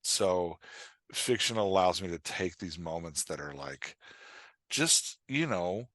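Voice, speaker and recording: Middle-aged man, low voice, candid talker. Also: lively intonation, takes it slowly, a bit bright, no room sound. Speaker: podcast guest, fiction writer and dialogue coach. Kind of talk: candid, conversational interview talk.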